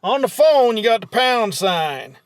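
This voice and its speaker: A man's voice: sits high, sing-song, unhurried. A man, hosting a comedy podcast in casual, folksy banter with unpolished country grammar.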